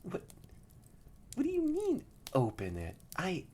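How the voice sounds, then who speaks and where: A haughty tsundere catboy acted out by a young performer in an intimate audio roleplay, pompous one moment and shyly hesitant the next. He talks slowly with very lively pitch, often dropping to a breathy murmur, over clear background noise. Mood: frustrated. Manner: pompous, flustered, hesitant stammering, often drops to breathy near-whispers. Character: haughty tsundere catboy, grumpy yet soft and shy.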